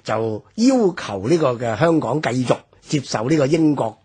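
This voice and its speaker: A man with a Hong Kong Cantonese accent explaining history in a measured radio discussion, with many fillers. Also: mid-range voice, a little mellow, slightly rough. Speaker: history commentator. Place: radio studio.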